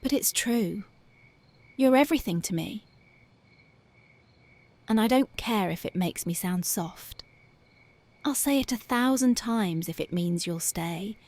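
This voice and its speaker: A woman voicing a tough but devoted young punk girlfriend in an ASMR roleplay, talking softly and intimately close to the mic, often dropping to a whisper. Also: medium pitch, expressive, a little slow, pauses often. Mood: tender, dreamy. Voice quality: soft, intimate, partly whispered. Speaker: voice actress.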